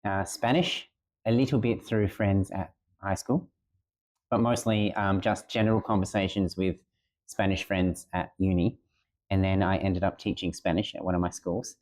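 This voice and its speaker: A man, a teacher answering interview questions in a relaxed way with many ums. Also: sits low, normal speed. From Australia. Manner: relaxed, many ums and uhs, plain-spoken. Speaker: teacher and guest. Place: school room.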